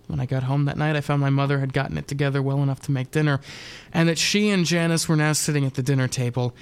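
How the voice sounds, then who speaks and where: Deadpan male narrator, creaky voice. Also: a little high, quick pace. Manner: deadpan, wry. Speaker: narrator and performer. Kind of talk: scripted first-person dark comedy narration.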